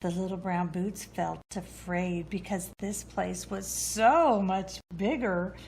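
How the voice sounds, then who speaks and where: A woman reads a children's storybook aloud at a slow, deliberate pace. Her pitch sits in the middle range for a woman, and there is some background noise behind her. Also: vocal fry, darkish tone. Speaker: storybook reader.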